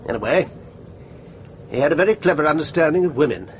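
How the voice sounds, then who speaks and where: An actor playing a brisk, blunt army major in a radio drama, with an offhand, clipped manner. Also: male voice, a little high, animated, lightly acted. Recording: noisy vehicle ambience behind dialogue.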